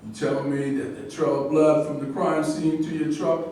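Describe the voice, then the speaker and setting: A man's voice: a little high, little pitch movement, moderate pace, warm tone, natural voice. A man in a staged reading playing a sardonic, needling accuser. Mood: mocking. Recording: distant echoey room, faint noise.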